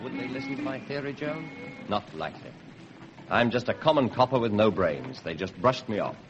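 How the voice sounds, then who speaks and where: An actor playing an eager, put-upon police constable in a radio drama, deferential but given to grumbling. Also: male voice, medium pitch, big pitch swings, fairly quick, music in the background, close to an everyday voice. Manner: deferential to superiors, grumbling aside. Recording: dull, noisy old radio recording.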